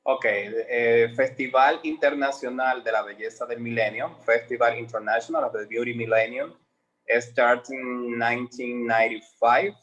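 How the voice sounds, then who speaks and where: A man from the Dominican Republic with a Dominican Spanish accent, a native Spanish speaker who answers interview questions in halting English, often pausing to search for words. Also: medium pitch, steady tone, fairly bright tone, muffled recording. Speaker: pageant organizer, guest.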